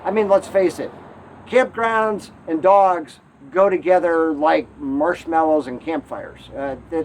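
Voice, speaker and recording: A man's voice: fairly high pitch, darkish tone, a little hiss or hum, low-quality recording. A man from Connecticut hosting an RV travel show, telling the story in a wry, mildly aggrieved way.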